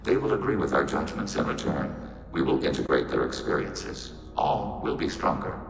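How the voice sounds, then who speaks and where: An actor playing a calm, logical robot of a collective mind in a video game, speaking in formal, clipped statements through a synthetic filter. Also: male voice, very deep voice, normal speed, vocal fry, roomy sound, long reverb tail, heavily acted. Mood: detached, matter-of-fact.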